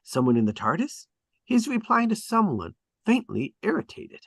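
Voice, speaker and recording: A man's voice: high voice, wildly swooping pitch, moderate pace, slightly rough, no room sound. A man reading stage directions at a drama table read, with emphatic, clipped and dramatic phrasing.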